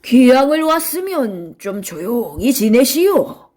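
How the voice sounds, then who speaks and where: A woman voicing an arrogant, bullying male official in a children's storybook, gruff and domineering. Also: on the high side, very animated, takes it slowly, darkish tone, pitched above the performer's own voice, heavily acted. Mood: stern scolding.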